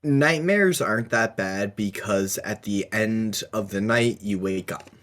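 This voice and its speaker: A man co-hosting a casual discussion podcast, explaining his thoughts in a halting, thoughtful way. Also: lowish voice, fairly bright tone. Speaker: podcast co-host.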